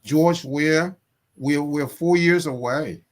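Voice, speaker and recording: A man's voice: on the high side, takes it slowly. A man with a Liberian accent giving impassioned political commentary as a guest, indignant and emphatic, repeating his phrases for force.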